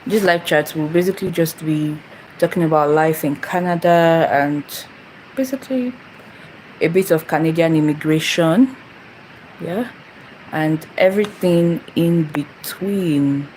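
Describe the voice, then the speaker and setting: A woman's voice: low-pitched, a little slow, a little mellow, a little hiss or hum, poor audio. A young woman from Nigeria hosting a casual phone livestream, rambling and repeating herself as she greets viewers. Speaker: channel host. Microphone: phone camera.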